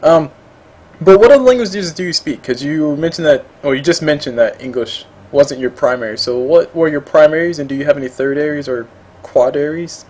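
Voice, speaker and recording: A man hosts a relaxed interview, joking around and wandering off into tangents. His voice sits slightly high, and his chatter stays upbeat and playful. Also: fairly quick. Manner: playful, rambling, goes on tangents. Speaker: interview host. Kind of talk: casual interview chat.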